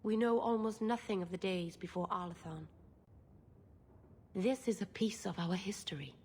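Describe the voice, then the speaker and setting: A mid-pitched actress's voice for a young female elf mage in a fantasy game. She sounds gentle, naive and stubbornly hopeful, with an earnest, halting delivery. Faint sound sits behind her. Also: slight background noise, natural voice. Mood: solemn. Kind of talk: dramatic video game acting.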